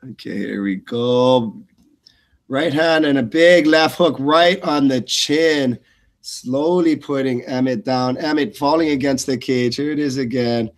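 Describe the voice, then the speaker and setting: A man's voice: medium pitch, unhurried. A man doing live blow-by-blow fight commentary in short, clipped phrases.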